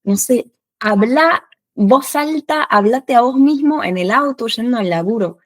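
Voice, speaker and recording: A woman in her thirties from France, a French teacher who speaks Spanish with an Argentine accent in a casual chat, lively and laughing often. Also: on the high side, no room sound, clean studio sound. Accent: Argentine Spanish with a French background. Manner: lively, laughs often, quick bursts of speech.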